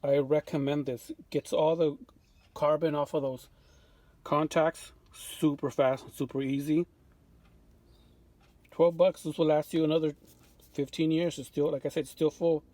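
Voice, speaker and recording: A man giving an unscripted show-and-tell of his restoration work in a home workshop, relaxed and full of fillers and restarts. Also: on the high side, a little slow, long pauses, no echo. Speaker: vintage radio restorer.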